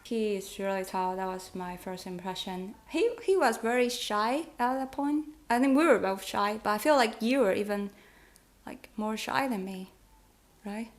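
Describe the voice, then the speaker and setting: A woman's voice: medium pitch, normal speed. A thirty-year-old woman from Taiwan with a Taiwanese accent, a native Mandarin speaker, hosting a casual vlog chat in a giggly, hesitant, conversational way. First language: Mandarin Chinese. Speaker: vlog host.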